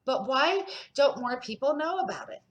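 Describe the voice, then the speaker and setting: Woman voicing a thoughtful storybook girl, curious and earnest, with lots of pitch movement. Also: big pitch swings, moderate pace, highs cut off. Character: Emily, inquisitive thoughtful young girl twin. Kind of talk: children's picture-book read-aloud.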